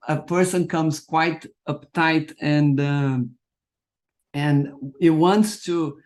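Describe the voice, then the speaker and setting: A man hosting an interview in English, which is not his first language, asking questions haltingly as he searches for words. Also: fairly high pitch. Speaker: interview host.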